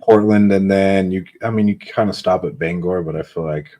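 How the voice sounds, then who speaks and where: A man's voice: deep voice, moderate pace, dark tone. A man from California, a real estate investor, chatting casually on a phone call.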